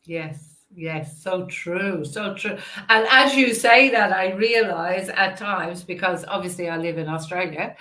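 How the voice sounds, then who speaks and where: A woman's voice: lowish voice, unhurried, bright, forceful tone. Irish woman hosting in an echoey room. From Ireland. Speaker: interview host. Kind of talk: casual conversational interview. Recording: roomy, echoey sound.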